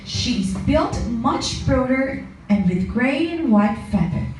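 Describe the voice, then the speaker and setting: A woman narrates a children's tale with animated, rising and falling pitch. Her scripted storytelling comes from far off in a very reverberant room, with music clearly playing behind her. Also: slow pace. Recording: very echoey room, distant mic, music.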